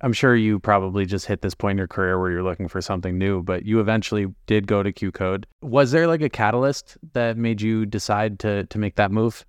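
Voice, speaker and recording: A male podcast host with a smooth, clear voice on the low side. He asks questions conversationally, and the recording is close and dry. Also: fairly quick, hardly any pauses, dry recording. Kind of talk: conversational interview.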